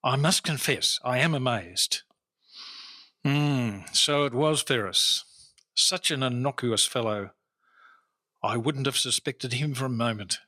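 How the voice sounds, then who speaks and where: An actor playing a shrewd, wry Victorian-era customs inspector in a radio detective drama, formal and measured as he talks and narrates his cases. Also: medium pitch, pushed and bright, dry recording, clean studio sound. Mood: dry, knowing.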